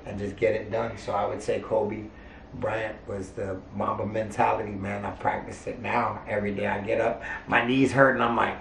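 Gravelly, low-voiced middle-aged man. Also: normal speed. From Hawaii. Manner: animated, jokey, bursts loud then drops to asides. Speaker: family show host. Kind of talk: casual family Q&A. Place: indoor bedroom, on a couch. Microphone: handheld mic. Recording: echoey room, mic at a distance, faint hiss.

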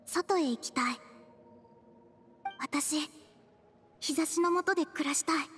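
A woman voicing a sombre, wistful, mysterious young girl in an anime game, speaking quietly and gravely. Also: high-pitched, slow pace, long reverb tail, a little hiss or hum. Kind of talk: Japanese anime game dialogue acting.

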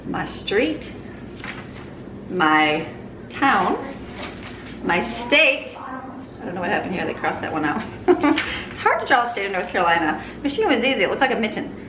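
Woman, 30s, easygoing home vlogger talking while she tidies; echoey kitchen, steady room noise. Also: medium pitch. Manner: chatty, explaining as she works. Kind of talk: casual home vlog. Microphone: handheld camera.

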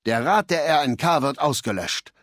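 An actor playing a cold, learned warlord dictator in a video game, speaking in an articulate, condescending, lecturing way. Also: male voice, a little high, animated, normal speed, a bit bright, no room sound, studio quality. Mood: coolly instructive.

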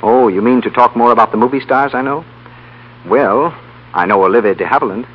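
A man's voice: medium pitch, brisk. An American man working as an announcer, reading a scripted 1930s radio show and its advertising. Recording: muffled 1930s radio broadcast, faint hum.

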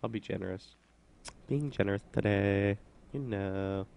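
Gravelly guy joking over gameplay. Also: fairly low pitch, a little slow, a little mellow, a little hiss or hum. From Pennsylvania, USA. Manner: joking, sarcastic asides. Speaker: let's play gamer. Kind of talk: casual gameplay commentary.

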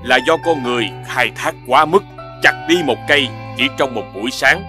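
A man's voice: medium pitch, moderate pace, over music, close to an everyday voice. A man voicing a solemn, ancient forest spirit in a children's cartoon, grave and admonishing. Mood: solemn warning.

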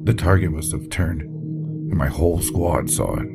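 An actor playing a gruff, foul-mouthed, shaken military captain in an audio drama interview log. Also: male voice, sits low, unhurried. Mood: grim, uneasy. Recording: voice over loud background music.

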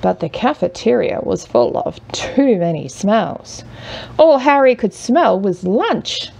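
A woman reading a children's picture book aloud as a mother would, expressively and with dramatic pauses. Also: medium pitch, big pitch swings, vocal fry, breathy edge. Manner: measured, with dramatic pauses and hushed drawn-out words. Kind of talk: expressive children's storybook narration.

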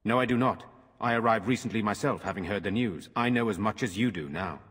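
An actor playing a grave, courteous noble lord in a fantasy game, speaking formally and earnestly in a reverberant stone hall. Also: male voice, on the low side, fairly quick, fairly bright tone, husky. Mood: serious. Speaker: game character. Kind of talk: dramatic fantasy game acting.

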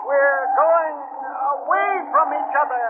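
A man's voice: very high voice, unhurried. An actor playing a panicky spaceship crewman in a 1950s radio drama. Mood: terrified. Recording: tinny 1950s radio, loud noise.

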